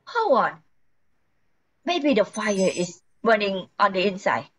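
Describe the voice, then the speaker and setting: A woman's voice: mid-range voice, sing-song, slow pace, breathy edge, full character voice. An older woman playing a frantic, forgetful housewife who pleads anxiously, in an amateur radio play. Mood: panicked.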